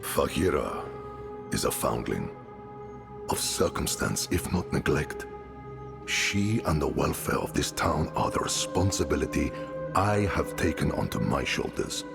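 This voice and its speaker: An actor playing a kindly, gruff village carpenter and father in a game cinematic, speaking in a thoughtful, halting, warm way. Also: a bass voice, very animated, heavily acted. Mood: solemn. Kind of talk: video game cinematic acting. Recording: music behind the voice.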